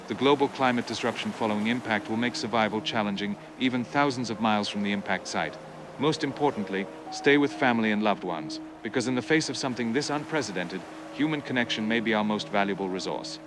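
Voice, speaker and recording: Male actor as grave expert scientist on disaster newscast: low, rapid, formal delivery over noisy outdoor ambience. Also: close to an everyday voice. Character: grave male scientist explaining a catastrophic asteroid miscalculation. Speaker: expert interviewee on a TV news broadcast. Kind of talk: formal scripted news interview, technical explanation.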